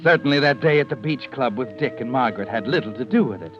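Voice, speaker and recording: An actor playing a sinister, all-knowing narrator of a radio mystery, speaking in a measured, theatrical and ominous way. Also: male voice, medium pitch, expressive, natural voice. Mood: brooding. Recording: muffled old radio broadcast, music behind.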